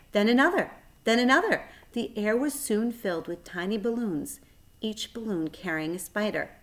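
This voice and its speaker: A woman narrating a children's story aloud in a measured, expressive way. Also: mid-range voice.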